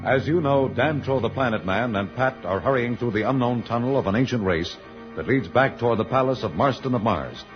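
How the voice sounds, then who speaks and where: A man's voice: mid-range voice, a little fast, soft background music. A man narrating a vintage radio serial as announcer, in a dramatic, grandiose manner. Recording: dull old radio recording.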